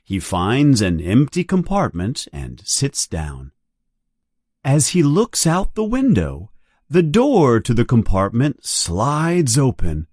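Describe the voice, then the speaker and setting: A narrator reading a scripted story aloud for learners in a deliberate, clearly enunciated manner. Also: medium pitch, huge pitch swings, darkish tone, slight background noise. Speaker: audiobook narrator. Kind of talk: scripted read-along storytelling.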